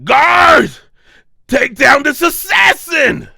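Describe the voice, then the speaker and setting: A pompous, cowardly henchman in a manga voice-over. The voice is pushed very high and loud, bright and strained, blustering and pleading in frantic fear. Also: animated, drawn-out words, creaky, no room sound, clean studio sound, exaggerated voice. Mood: panicked outrage. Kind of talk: amateur manga voice-over acting.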